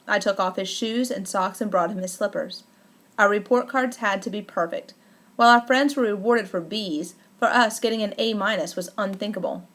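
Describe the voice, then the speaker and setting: Woman, audiobook narrator, first-person memoir, medium pitch, measured, deliberate.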